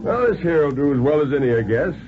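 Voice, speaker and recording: A man's voice: a little high, very animated, fast talker, background noise, pitched above the performer's own voice. Man voicing a folksy, drawling deputy marshal. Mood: folksy, casual. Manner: drawling, homespun, hesitant talk. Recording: narrow vintage 1950s radio.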